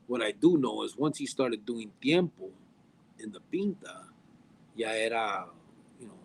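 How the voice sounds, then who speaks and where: A man, chatting casually on a podcast, halting with fillers and restarts and mixing in Spanish slang. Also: fairly low pitch, animated, gravelly, breathy edge, low-quality recording. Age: 40s. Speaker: podcast guest.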